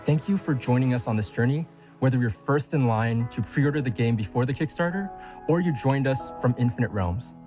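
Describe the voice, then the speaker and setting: Guy doing an earnest scripted company statement, medium pitch, music under it. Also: a little fast. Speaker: game studio spokesman.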